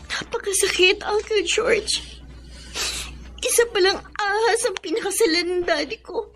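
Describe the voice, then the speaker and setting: A very high, plaintive Filipino girl's voice. She is a grieving, bitter young daughter in a radio drama, tearful over clear music. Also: measured pace.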